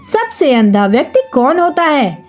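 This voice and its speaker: A woman's voice: high voice, very animated, a little slow, faint music behind, voice pushed up. A woman voicing a learned king in a children's story, formal and commanding. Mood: probing. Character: proud, learned Indian king questioning his subject.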